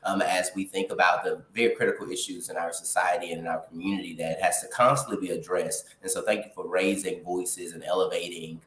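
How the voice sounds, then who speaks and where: Texan guy in his 30s, real low voice, slow earnest talk w lots of ums, echoey room. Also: steady tone, a bit bright. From Texas. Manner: earnest, measured, many "um" pauses. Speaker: guest. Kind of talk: casual interview talk.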